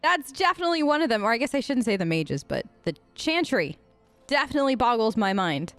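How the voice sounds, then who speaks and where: A woman's voice: fairly high pitch, very animated, a little fast, a bit bright, soft background music. A woman doing casual, chatty role-play commentary as she plays a video game in character. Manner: conversational, playful, chatty. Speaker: gamer playing in character.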